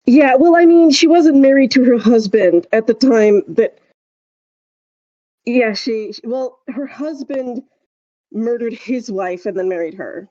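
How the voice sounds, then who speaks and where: A woman co-hosting a podcast in casual chat, telling things in a rambling, halting way. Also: on the high side, mellow, dry recording, dull audio. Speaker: podcast co-host.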